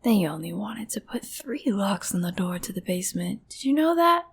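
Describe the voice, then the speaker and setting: A woman's voice: mid-range voice, very animated, moderate pace, vocal fry, a little hiss or hum. A woman playing a smug, possessive kidnapper sister in a comedic audio roleplay, condescending and cooing, often close to a whisper.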